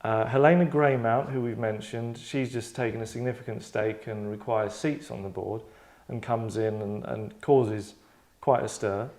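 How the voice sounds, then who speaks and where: A man's voice: mid-range voice, fairly even intonation, normal speed, hardly any pauses, a little mellow. A man in casual host mode, talking in a mid-range voice. He rambles and digresses with a tongue-in-cheek manner as he sets up a script reading. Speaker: host and writer running a table read.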